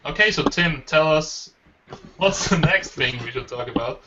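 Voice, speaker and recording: A man's voice: on the high side, lively intonation, moderate pace. A man hosting a casual online group chat about tech, speaking conversationally as the host. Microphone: online voice call. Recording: muffled online call, faint noise.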